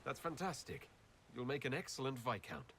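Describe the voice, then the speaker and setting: A man playing a composed, good-humoured heroic leader in a video game, measured and dryly polite. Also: mid-range voice, sing-song, a bit bright, a little hiss or hum. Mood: amused, touched. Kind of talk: video game cutscene acting.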